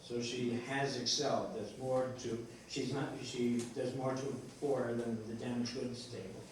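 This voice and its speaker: A distant, strongly reverberant recording of a man with a faint noise behind him. He talks as a writer explaining his work, rambling and halting, often restarting as he searches for words. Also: mid-range voice, flat delivery. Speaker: playwright discussing his play. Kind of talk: rambling post-show discussion. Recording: echoey room, distant mic, faint noise.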